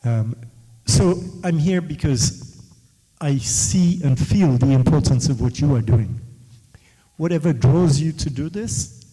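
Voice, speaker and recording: A man's voice: on the high side, slow pace, dark tone, breathy edge, long reverb tail, rough recording. A man from West Africa whose first language is Creole, asking questions and reflecting at a book launch. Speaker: audience member and author.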